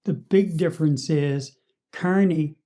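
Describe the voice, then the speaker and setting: A man co-hosting a talk show with unscripted political commentary, haltingly repeating words as he starts. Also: a little high, mellow.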